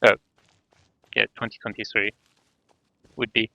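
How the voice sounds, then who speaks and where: Hesitant male streamer, forceful bright tone. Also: lowish voice, level tone, vocal fry, no echo. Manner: hesitant, halting, thinking aloud. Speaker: game streamer. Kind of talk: casual livestream chat. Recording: dull band-limited mic, faint music.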